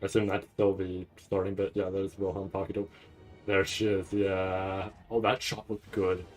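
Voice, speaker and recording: Low-voiced guy rambling on a livestream. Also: moderate pace, faint music behind. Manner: rambling, stammering, repeats words; excitable, nervous anticipation. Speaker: streamer reacting to a live anime event. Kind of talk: casual livestream reaction commentary.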